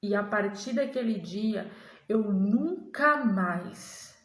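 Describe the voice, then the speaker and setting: Slow, earnest young Brazilian-Portuguese-speaking woman. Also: mid-range voice, animated, reverberant. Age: young adult. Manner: slow, earnest, halting with restarts. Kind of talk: personal religious testimony to camera.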